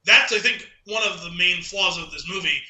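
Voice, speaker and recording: A man's voice: high-pitched, normal speed, pushed and bright. A man co-hosting a podcast, giving his opinions in casual film discussion, recorded with an echoey, roomy sound. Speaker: podcast co-host.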